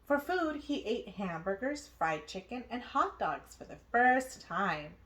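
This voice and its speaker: A woman narrating a children's storybook aloud, with expressive, deliberate phrasing. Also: on the high side. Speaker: children's book read-aloud host.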